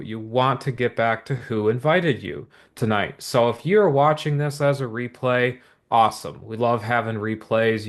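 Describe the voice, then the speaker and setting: A man presenting a business opportunity in an upbeat, motivational pitch to livestream viewers, talking with lots of repetition and quick asides. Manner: energetic, repetitive motivational patter with many asides.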